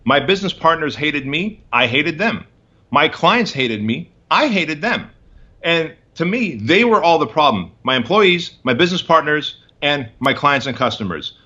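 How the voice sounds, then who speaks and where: Man in his 40s, bright tense voice, punchy motivational talk, kinda dull lo-fi sound. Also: on the high side, big pitch swings, moderate pace, breathy edge. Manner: emphatic, punchy short phrases. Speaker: interview guest, entrepreneur. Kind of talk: motivational storytelling interview.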